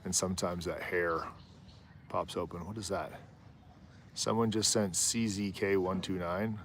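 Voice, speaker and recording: A man with a low voice hosting a live stream outdoors on a rooftop, chatting in a rambling, easygoing way. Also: fairly even intonation, a little slow, a bit bright, a little hiss or hum. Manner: rambling, easygoing, often trails off and laughs. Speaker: livestream host. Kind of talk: casual live commentary.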